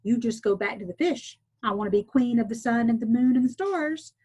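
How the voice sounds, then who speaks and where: A woman's voice: on the high side, lively intonation, brisk, warm tone, slightly rough. A woman reading aloud, voicing a greedy, demanding fisherman's wife who gives curt orders. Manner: curt, commanding.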